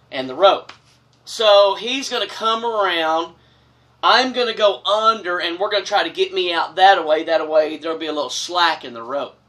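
A man's voice: high-pitched, recorded from a distance. A man, telling a personal story casually and gesturing broadly from a couch in a small wood-paneled room. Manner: animated, gestures broadly with both hands. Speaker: storyteller host.

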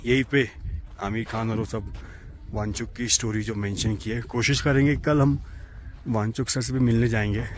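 A man making a casual travel vlog outdoors, excitedly pointing out the road, trucks and lake to his viewers while riding and filming. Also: mid-range voice, normal speed. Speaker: travel vlogger. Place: outdoors on mountain roads and lakeside. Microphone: handheld camera, often riding a bike.

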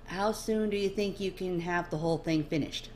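A woman voicing a calm, easygoing human man in a storybook reading. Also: fairly low pitch, moderate pace, voice pushed up, lightly acted. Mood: calm, friendly. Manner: calm, easy. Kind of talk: storybook character dialogue. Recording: faint background noise.

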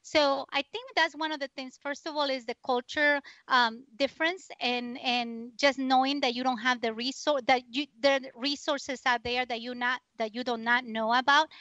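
A Spanish-accented woman in her forties talks in a high, bright voice, earnest and encouraging. Her English bends the grammar a little. The audio is dull and dry. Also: no echo. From Ecuador. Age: 40s. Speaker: guest author. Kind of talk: interview answers.

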